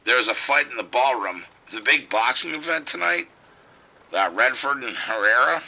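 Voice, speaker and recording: A man's voice: medium pitch, animated, normal speed, vocal fry, pushed and bright, exaggerated voice, rough recording. An actor playing a frightened male police officer calling for help over the radio, urgent and swearing. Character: male police officer trapped in a casino outbreak. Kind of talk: audio drama acting.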